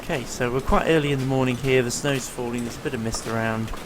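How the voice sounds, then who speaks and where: A man's voice: mid-range voice, fairly even intonation, quick pace, noisy background. Man with a strained voice. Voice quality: rough, tired-sounding voice. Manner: easygoing, explains as he plays, many ums and uhs. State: strained, failing voice. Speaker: gameplay commentator. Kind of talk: casual let's-play commentary.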